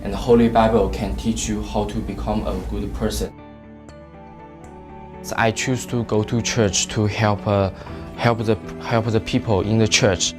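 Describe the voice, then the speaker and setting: A man's voice: fairly low pitch, little pitch movement, measured pace, music in the background. A man from China, a student, speaking hesitantly with fillers and repeated words. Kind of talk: recorded personal statement.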